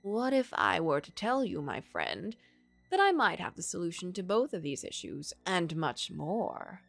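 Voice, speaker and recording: A sly gentleman tempter from a story game, read by a woman, courtly and insistent. The delivery swings in pitch as he coaxes, over faint music. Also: sing-song, unhurried, vocal fry, no echo, full character voice. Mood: enticing. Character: sly, smooth-talking gentleman tempter offering magical bargains.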